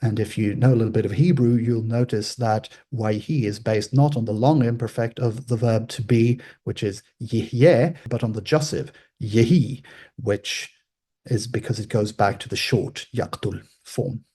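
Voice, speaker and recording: A man whose first language is Swedish, explaining ancient grammar in an informal scholarly way, pausing to restate and qualify his points. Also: medium pitch, mellow, husky.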